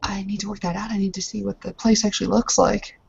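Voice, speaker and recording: A woman's voice: medium pitch, flat delivery, fast talker, vocal fry, darkish tone, husky, highs cut off. A woman casually chatting and narrating during a roleplaying game.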